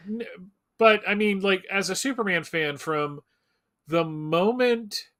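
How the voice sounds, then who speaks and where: A man's voice: fairly high pitch, a bit bright. Man in casual, emphatic fan talk. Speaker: Superman fan commentator on a fan live show.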